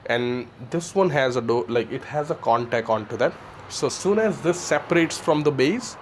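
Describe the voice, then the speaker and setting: A man presents a product in an informal, explanatory way, often tagging his points with a questioning "right?". His voice sits at an average pitch. It is recorded on location at a building entrance, with faint noise behind him. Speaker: security installer presenting a product. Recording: clear background noise on location.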